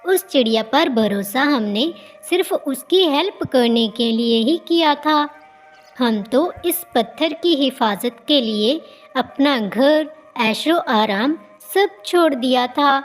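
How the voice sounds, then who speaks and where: A little female cartoon bird, played by a woman, with an extremely high voice. She is earnest and explains herself pleadingly over a bed of music. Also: expressive, slow pace. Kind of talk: cartoon story acting.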